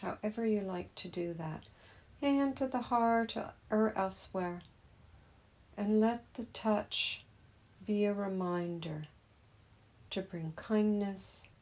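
A woman gently leading a guided meditation as a teacher, in a soothing, unhurried manner. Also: mid-range voice.

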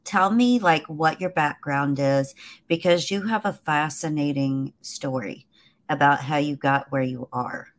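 A woman's voice: deep voice, a little slow. A woman hosting a casual podcast interview, upbeat and encouraging toward her guest. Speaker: podcast host.

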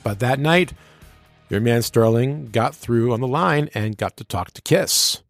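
A man's voice: medium pitch, lively intonation, unhurried, creaky, faint music behind. A man who works as a radio personality and DJ, telling stories casually and colloquially with a showman's turn of phrase as an interview guest. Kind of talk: casual storytelling in a sit-down interview.

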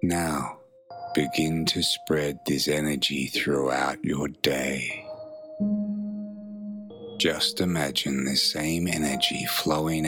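Really deep slow guy doing guided meditation, soothing, pretty flat tone, long gaps between phrases.

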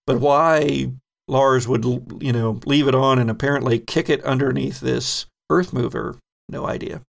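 A man with a deeply gravelly, creaky voice runs a tabletop horror game as game master. He describes scenes at an unhurried pace, filling gaps with ums. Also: steady tone.

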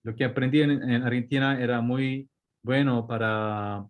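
Hombre con acento coreano, hablar vacilante. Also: male voice, medium pitch, fairly even intonation, moderate pace, phone-quality sound. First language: Korean. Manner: hesitant, restarts words, mixes in English. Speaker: guest surgeon. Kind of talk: casual interview.

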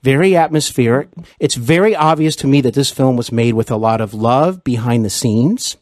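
A man's voice: mid-range voice, moderate pace, darkish tone, dry recording, clean studio sound. A man hosting a conversational interview, speaking in an effusive, complimentary way. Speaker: podcast host and interviewer.

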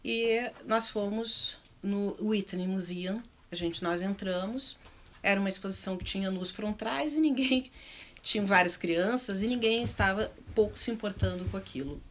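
A woman's voice: mid-range voice, moderate pace. A middle-aged woman with a southern Brazilian accent, speaking unscripted in a panel discussion, reflective and often searching for words as she rephrases. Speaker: panel speaker on arts and culture.

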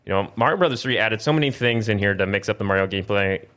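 A man's voice: lowish voice, fast talker, fairly bright tone. A man hosting a gaming show, chatting casually and encouraging the others as they play. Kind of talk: casual chatty banter while playing video games.

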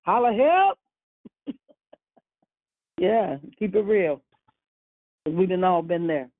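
A woman in her sixties hosting a church prayer call, slowly encouraging callers with amens and hallelujahs. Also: lowish voice, big pitch swings, no echo. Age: 60s. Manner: slow, encouraging, churchy exclamations. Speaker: prayer line host. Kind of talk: church phone call fellowship. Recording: phone line.

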